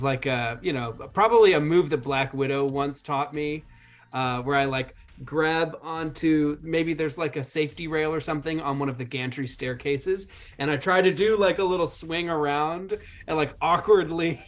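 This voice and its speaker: A man's voice: a little high, moderate pace, a bit bright. Hesitant man at a game table, music behind. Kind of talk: casual game-table chat.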